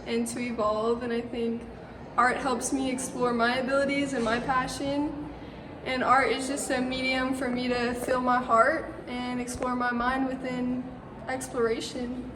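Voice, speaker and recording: A woman's voice: a little high, background noise. A teenage girl speaking reflectively and a bit hesitantly about art. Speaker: art student. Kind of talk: interview answer. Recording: distant mic in an echoey hall, loud ambience.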